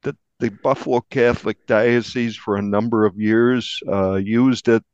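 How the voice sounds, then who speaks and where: A man speaking as an investigative journalist in an interview, telling what he reported in a measured, descriptive, conversational way. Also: lowish voice, steady tone, a little mellow, clean tone, no echo. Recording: dull, band-limited audio.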